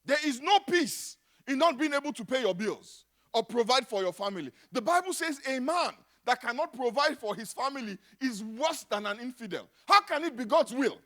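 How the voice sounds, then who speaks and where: A middle-aged Nigerian man preaching a sermon, dropping to a hush and then rising to loud exclamations. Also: very high voice, expressive, moderate pace, hardly any pauses. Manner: dramatic, swings from hushed to shouting. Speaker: pastor.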